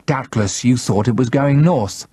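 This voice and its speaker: A man's voice: medium pitch, measured pace, slightly rough, no room sound, pitched above the performer's own voice. Man acting a defiant thriller hero, dry sound. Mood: defiant bluffing. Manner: controlled, calm, defiant. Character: defiant male first-person thriller hero, bluffing calmly. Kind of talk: audiobook character acting.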